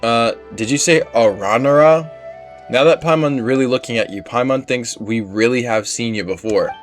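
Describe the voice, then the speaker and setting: A man's voice: mid-range voice, normal speed, soft background music, natural voice. A man voicing a small, cute female fairy companion from a game, curious, anxious and given to exclaiming. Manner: speaks of self in third person, exclaiming.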